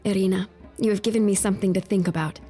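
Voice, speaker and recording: A woman's voice: medium pitch, steady tone, fast, dark tone, husky, soft background music, close to an everyday voice. An actress playing a determined young noblewoman in an audio drama, speaking in a polite, earnest, formal way. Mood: yearning.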